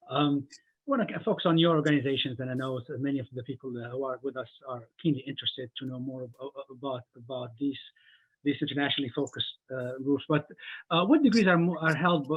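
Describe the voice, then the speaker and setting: Husky male moderator on a tinny line. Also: medium pitch. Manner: friendly prompting questions, short affirmations. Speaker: panel moderator, professor. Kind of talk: moderating a panel.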